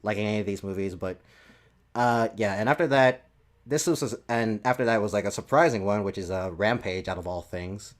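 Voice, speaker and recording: A man hosting a casual podcast chat, speaking in a hesitant, halting way and often repeating himself. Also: on the low side. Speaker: podcast host.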